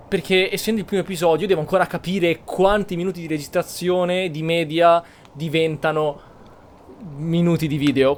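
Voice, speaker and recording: A man's voice: fairly high pitch, slight background noise. A man from Italy giving casual gameplay commentary as a video creator. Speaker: gaming YouTuber.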